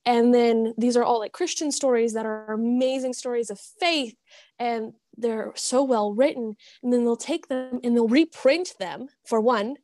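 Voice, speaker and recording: Female podcast guest, fairly high voice, enthusiastic conversational delivery, very dry recording. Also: a little slow, mellow, no echo. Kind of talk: casual chat.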